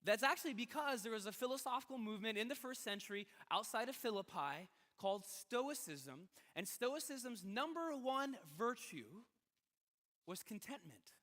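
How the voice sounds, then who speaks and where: A man's voice: high voice, pushed and bright. A man preaching a sermon as a pastor, talking in a conversational but emphatic way with rhetorical questions. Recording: reverberant hall.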